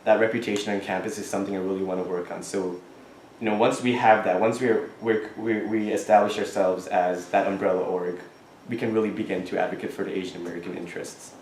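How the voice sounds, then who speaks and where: A man's voice: low voice, steady tone, darkish tone, a little hiss or hum. Earnest young man in an echoey classroom. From Thailand. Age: young adult. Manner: earnest, halting, many restarts and fillers. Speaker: student board candidate. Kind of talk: answering questions at an election forum.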